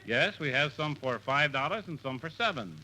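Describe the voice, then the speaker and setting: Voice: medium pitch, expressive, normal speed, pushed and bright. An actor playing a courteous but unbudging hotel manager in a 1950s radio comedy. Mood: courteous, dry. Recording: noisy old radio recording.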